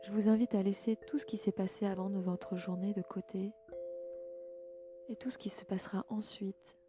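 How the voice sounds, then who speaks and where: Female relaxation guide, medium pitch, gentle inviting delivery with soft endings, music clearly underneath. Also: little pitch movement, normal speed. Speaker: host and yoga nidra guide. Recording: music bed behind the voice.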